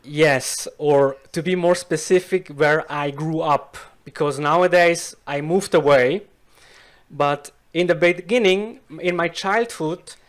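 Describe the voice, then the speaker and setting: A Swiss man whose first language is Swiss German, speaking careful, slow learner's English as an interview guest. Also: on the high side. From Switzerland. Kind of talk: casual interview chat.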